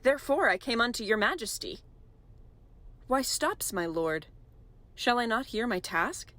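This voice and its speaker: A woman's voice: on the high side, sing-song, unhurried, fairly bright tone. An actress playing a proud, wary widowed mother petitioning a king, polite but firm in theatrical Shakespearean dialogue. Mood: dutiful, puzzled.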